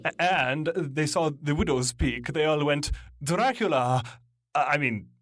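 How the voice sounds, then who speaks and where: A man playing an awkward, lovestruck centuries-old vampire in a comedy audio drama, speaking bashfully with halting stammers. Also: fairly high pitch, lively intonation, a bit bright, no echo, clean studio sound. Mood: sheepish, rueful. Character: lovestruck, awkward centuries-old male vampire telling his dating story. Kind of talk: mock dating testimonial in comedy audio drama.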